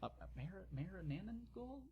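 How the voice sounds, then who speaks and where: A man's voice: sits high, sing-song, moderate pace, narrow, tinny audio, a little hiss or hum. A man narrating an amateur dramatic story reading, informal and stumbling, with exasperated, sarcastic asides. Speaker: narrator and channel host.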